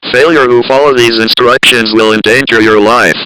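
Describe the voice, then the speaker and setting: A man's voice: medium pitch, steady tone, normal speed, sharp tone, clear, resonant tone, no echo, close to an everyday voice, rough recording. A man playing a stern, formal emergency alert announcer in an audio drama, giving clipped, commanding instructions. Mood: grave, urgent.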